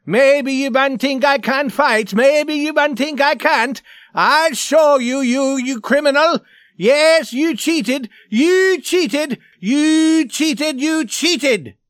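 A man voicing a furious, half-mad Swede in a story, shouting and frantically repeating himself. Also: extremely high pitch, takes it slowly, no echo, studio quality, pitched above the performer's own voice, heavily acted. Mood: raging.

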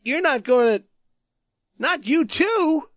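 A man voicing a young soldier who speaks in a high, strained, urgent way. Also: sing-song, a little slow, fairly bright tone, no room sound, lo-fi sound, exaggerated voice. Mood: alarmed. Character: young male soldier, frantic and pleading.

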